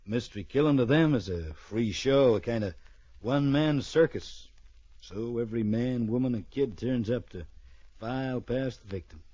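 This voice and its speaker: An actor playing a laconic, tough frontier marshal in an old radio western, speaking in clipped, terse phrases. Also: male voice, mid-range voice, moderate pace, mellow, no room sound, lo-fi sound, lightly acted. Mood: dry narration.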